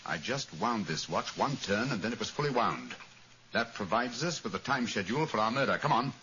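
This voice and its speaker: An actor playing a keen, commanding British detective in 1940s radio style, speaking in a crisp, deliberate, authoritative manner. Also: male voice, fairly low pitch, animated, sharp tone. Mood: analytical, brisk. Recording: dull, noisy old radio recording.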